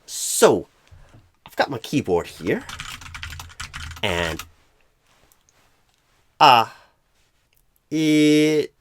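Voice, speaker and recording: A man voicing a clueless, flustered job recruiter in an ASMR roleplay, rambling with odd pauses mid-sentence. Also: medium pitch, big pitch swings, a little hiss or hum. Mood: bumbling, apologetic. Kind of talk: ASMR roleplay, comic improvised monologue.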